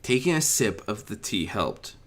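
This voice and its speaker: A man narrating a fan fiction audiobook in an expressive storytelling style. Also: lowish voice, moderate pace.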